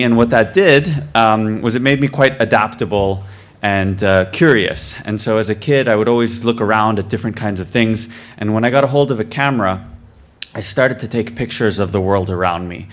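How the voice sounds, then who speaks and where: A young man born in the UK gives an earnest self-introduction at a mic, relaxed and loose, with plenty of ums and uhs. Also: lowish voice. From born in the UK, raised in many countries. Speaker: photographer and filmmaker. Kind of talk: short self-introduction speech. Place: club event hall, talking at a mic.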